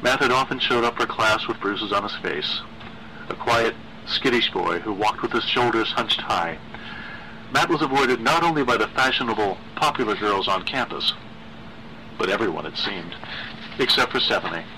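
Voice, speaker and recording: A man's voice: lowish voice, animated, normal speed, sharp tone, slight background noise, rough recording. A man reading a horror story aloud as a dramatic narrator.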